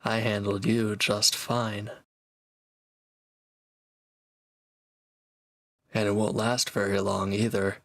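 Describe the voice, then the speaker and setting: A man playing a proud, teasing reformed villain and swordsman in an intimate audio roleplay, talking cockily to one listener in a gravelly voice. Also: fairly low pitch, flat delivery, measured pace, dry recording. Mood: smug, teasing.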